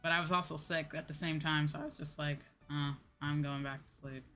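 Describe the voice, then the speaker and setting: A woman's voice: low voice, fairly even intonation, a little fast, a bit bright, faint music behind. A woman livestreamer chatting casually, in an informal, slangy, ranting way that acts out her own reactions. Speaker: VTuber livestream host.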